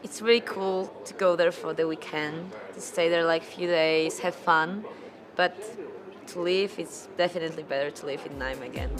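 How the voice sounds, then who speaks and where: A woman's voice: low-pitched, fairly even intonation, moderate pace. A young woman from Poland, an exchange psychology student, speaking casually and chattily in an interview. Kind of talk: interview testimonial.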